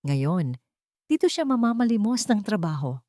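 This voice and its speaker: A woman's voice: mid-range voice, sing-song, normal speed, warm tone, no room sound, studio quality. A woman with a Filipino accent giving a scripted, dramatic story narration.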